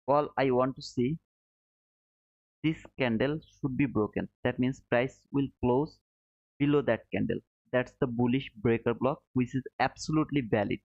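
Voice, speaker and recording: Man, chatty livestream host. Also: dry recording. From Bangladesh. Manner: friendly and chatty, calls viewers brother, says boom. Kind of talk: casual live-stream commentary, reading viewer chat. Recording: dull, slightly muffled, dry.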